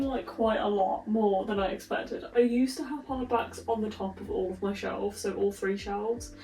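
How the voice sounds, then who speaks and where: A woman's voice: a little high, a little mellow, distant mic. Chatty woman with a slight cold, echoey room. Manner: chatty, rambling, giggly asides. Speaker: book vlogger. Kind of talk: casual vlog chat. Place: room in her flat.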